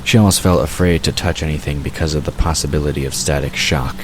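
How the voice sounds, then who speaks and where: Man, extremely low pitch, scripted fantasy narration, clear background ambience. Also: fairly even intonation, fast talker, background noise.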